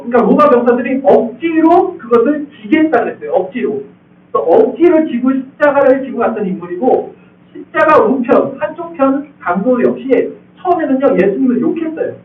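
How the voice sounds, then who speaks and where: A male pastor preaching to his congregation, talking with them as he goes and checking whether they follow. His voice sits very high and moves a lot. He sounds far from the microphone, and the thin, tinny sound echoes around a large church hall. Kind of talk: expository sermon. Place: church sanctuary.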